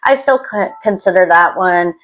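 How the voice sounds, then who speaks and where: A woman with a lowish voice chats informally to camera as the host of her own video channel. She comes across as introverted and self-deprecating, rambling from one thought to the next. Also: normal speed, fairly bright tone, rough recording. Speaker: YouTube host. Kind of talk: casual chat to camera. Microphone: external microphone.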